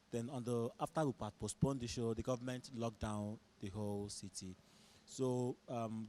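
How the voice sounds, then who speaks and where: A man's voice: medium pitch, warm tone. A man with a Nigerian accent, a theatre director giving earnest interview answers in declarative, repeating phrases. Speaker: stage director and producer. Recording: clear background noise, event ambience.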